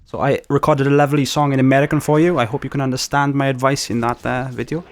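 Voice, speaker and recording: A Welsh man talking through a game in a casual, explanatory voice-over, mid-pitched and easygoing. He slips in fillers and the odd swear word as he explains. It sounds like a home desk microphone. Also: level tone, fast. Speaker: gaming YouTuber.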